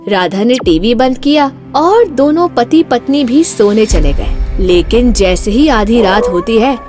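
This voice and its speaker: A woman narrating an animated horror story in a storytelling style. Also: on the high side, a little slow, faint music behind.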